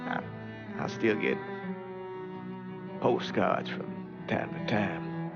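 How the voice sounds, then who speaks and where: A man acting a weary, sorrowful old priest in a video game drama, speaking haltingly and gravely. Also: fairly low pitch, expressive. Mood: reflective, sorrowful. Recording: dull lo-fi sound with music underneath.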